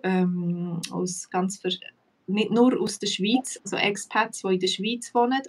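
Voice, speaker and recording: A woman with a Swiss German accent, hosting a relaxed live chat about her language classes and filling her talk with pauses and small laughs. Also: medium pitch, far from the mic, low-quality recording. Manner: relaxed, chatty, many fillers, laughs often. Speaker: language teacher and host.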